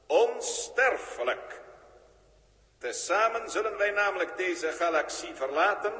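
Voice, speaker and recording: An actor playing a cold, formal ship-brain that is part human and part machine, speaking in stiff, old-fashioned and measured sentences in a 1960s radio play. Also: male voice, on the high side, pushed and bright, reverberant, in a large hall. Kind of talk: 1960s radio drama acting.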